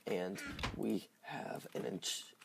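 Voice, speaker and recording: A man with a slightly hoarse, just-woken voice giving casual, halting gameplay commentary as he thinks aloud. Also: low-pitched, lively intonation, noisy background. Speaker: gaming video host.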